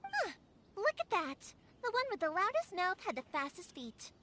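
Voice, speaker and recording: A woman's voice: extremely high pitch, wildly swooping pitch, normal speed, bright, forceful tone, slight background noise, exaggerated voice. A woman voicing a spirited, headstrong young fireworks maker in a video game, emphatic and impassioned. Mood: cheeky, brisk. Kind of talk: English video game voice acting.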